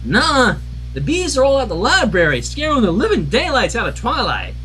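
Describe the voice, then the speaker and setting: A man's voice: very high-pitched, wildly swooping pitch, moderate pace, sharp tone, a little hiss or hum, voice pushed up, full character voice, rough recording. A man voicing eager, blunt little filly ponies with a childlike, plain-spoken manner for a fan fiction reading.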